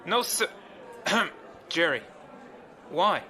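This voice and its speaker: Man acting a nervous, high-voiced young rookie crewman. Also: animated, extremely slow, bright, forceful tone, heavily acted. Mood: eager, awed. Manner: nervous, stammering, apologetic. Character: awestruck, clumsy, nervous young male rookie gunner. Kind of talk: audio drama acting.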